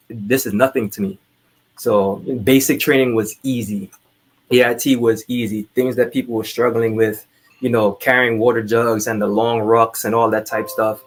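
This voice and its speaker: A man's voice: lowish voice, low-quality recording. A man from Trinidad, telling personal stories candidly and informally as a podcast guest. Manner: candid, informal, swears casually. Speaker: podcast guest, military veteran. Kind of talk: casual conversational storytelling.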